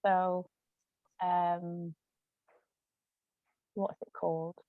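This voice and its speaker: A woman's voice: lowish voice, flat delivery, very slow. A woman chatting in a rambling way as a guest in a casual discussion. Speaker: guest author and actress. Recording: muffled lo-fi phone-like audio, roomy echo.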